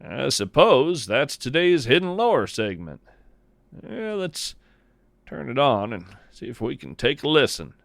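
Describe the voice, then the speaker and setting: A man playing a whimsical, gentle naturalist host, musing in a folksy, wry way through a scripted podcast monologue. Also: medium pitch, very animated, a little slow, creaky, no echo, heavily acted. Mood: mildly surprised. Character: whimsical, gentle naturalist host chatting over breakfast at home.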